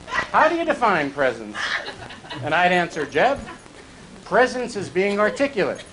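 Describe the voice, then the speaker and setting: A man reading out joke birthday messages to guests at a home party. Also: high-pitched. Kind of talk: comic reading aloud at a party. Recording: noisy amateur home video.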